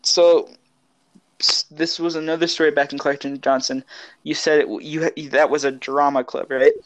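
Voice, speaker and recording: A man's voice: on the high side, flat delivery, moderate pace, low-quality recording. A young man co-hosting a podcast, telling personal stories in a hesitant, rambling way. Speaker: podcast co-host. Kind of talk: casual chat, personal storytelling.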